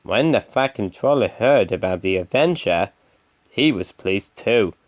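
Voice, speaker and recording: A man's voice: fairly low pitch, animated, a little slow, no room sound. A man reading a scripted children's story as narrator.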